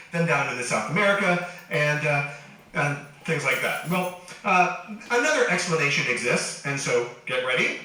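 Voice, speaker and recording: A man giving a lecture to an audience, animated and joking, with a nagging cough. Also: fairly high pitch, a little hiss or hum. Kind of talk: public lecture. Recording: echoey hall from far off.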